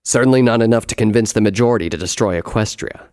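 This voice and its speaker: A man voicing a grave, commanding male pony in a storybook, speaking in a measured, emphatic, solemn way. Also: on the low side, darkish tone, no echo, clean studio sound, natural voice. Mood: grave, firm.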